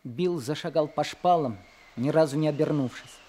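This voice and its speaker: An earnest teenage boy tells his own story in an old radio play, voiced by a man. His voice sits fairly high, and his narration is measured and sincere. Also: warm tone, close to an everyday voice. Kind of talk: scripted narration in radio drama.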